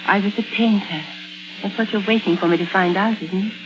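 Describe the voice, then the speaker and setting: A woman's voice: medium pitch, steady tone, a little fast, close to an everyday voice. An actress playing a troubled woman painter with amnesia, brooding and intense, in 1950s radio drama style. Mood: suspicious, insistent. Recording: dull vintage 1950s radio recording. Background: dramatic music.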